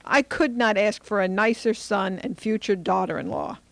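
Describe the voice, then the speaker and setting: A woman's voice: mid-range voice, normal speed, gravelly, no echo, natural voice. A woman playing a doting, self-sacrificing elderly mother in an old-time radio drama. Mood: fond. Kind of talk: Golden Age radio drama acting.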